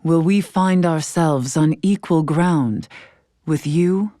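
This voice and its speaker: An actress playing a dignified, stern, scholarly woman in a fantasy video game, speaking in formal, archaic, measured phrases. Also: deep voice, warm tone, dry recording, clean studio sound. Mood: solemn. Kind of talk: scripted video game character dialogue.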